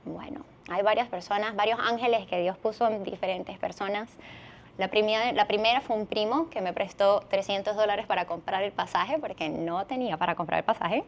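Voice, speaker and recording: A woman's voice: medium pitch, a little fast, slight background noise. A woman in her thirties with a Venezuelan accent, telling a personal story conversationally as a podcast guest.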